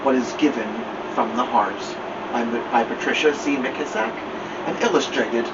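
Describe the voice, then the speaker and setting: A man reading a children's picture book aloud as a storytime host, expressively, with drawn-out emphasis. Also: mid-range voice, big pitch swings. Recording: muffled, clear background noise, slightly distant.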